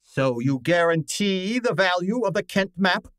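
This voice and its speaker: A man acts out a shrewd, pedantic detective from an old-time radio play. His voice sits fairly high and is recorded dry and close. He speaks with formal, deliberate authority, as if questioning a suspect. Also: lively intonation, fairly bright tone, no room sound. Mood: stern, accusing.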